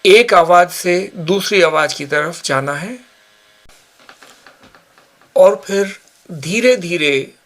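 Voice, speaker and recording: Slow-paced man guiding meditation, higher voice. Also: fairly bright tone. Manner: measured, instructional, pausing between short phrases. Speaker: yoga nidra instructor. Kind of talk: guided meditation, spoken instructions.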